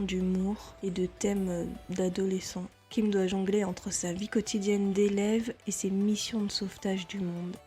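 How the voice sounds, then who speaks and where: A woman presenting in a measured, explanatory scripted narration. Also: medium pitch, mellow. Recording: music under the voice. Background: background music.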